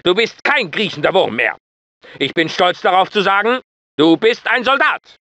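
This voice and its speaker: A hard-nosed military drill sergeant from a video game, pitched strikingly high for a male voice. He barks orders and insults with a piercing, forceful edge, swinging widely in pitch as he bullies his recruits. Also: lively intonation, normal speed, no echo. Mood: stern, instructive. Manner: barking, commanding, insulting, clipped orders. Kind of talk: German video game dubbing.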